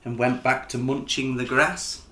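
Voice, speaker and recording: A man narrating a children's picture book in an expressive storytelling style, as the author reading aloud. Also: medium pitch, a little slow, roomy sound, slight background noise.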